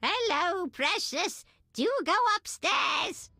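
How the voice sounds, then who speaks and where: A woman's voice: extremely high pitch, expressive, slow pace, gravelly, bright, forceful tone, no echo, soft background music, full character voice. An actress voicing a doting old grandmother in a video game, with a cooing, sing-song way of talking. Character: doting old grandmother guarding a house door.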